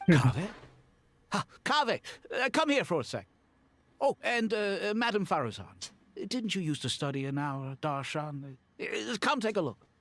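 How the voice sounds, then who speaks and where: A man's voice: fairly high pitch, very animated, normal speed, fairly bright tone, full character voice. A man voicing an eager, chatty, friendly scholar in a video game, with an informal manner.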